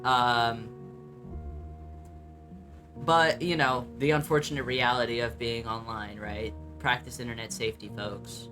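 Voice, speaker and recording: Young man, light mid-range voice with some bass, loose and blunt reaction-vlog delivery with lots of ums, music playing behind. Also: steady tone, measured pace, pauses often, sharp tone. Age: young adult. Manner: chatty, blunt and self-mocking, swears freely, many ums. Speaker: vlogger reacting to his old videos. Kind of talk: casual reaction commentary.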